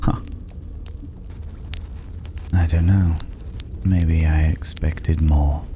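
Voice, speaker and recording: A man playing a mysterious, worldly stranger in a dragon's hoard in an ASMR fantasy roleplay, mocking and condescending, with a languid, teasing delivery and drawn-out pauses. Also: rumbling low, steady tone, heavily acted. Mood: amused, mocking. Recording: clearly noisy ambient background.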